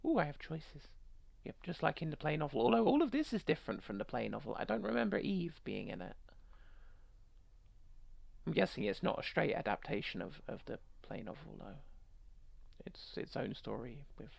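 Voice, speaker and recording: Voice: mid-range voice, wildly swooping pitch, normal speed, gravelly, no room sound. Someone reading a visual novel aloud and breaking in with sarcastic, sweary commentary. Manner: sarcastic, sweary, mocking interjections. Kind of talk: reading game text with joking commentary.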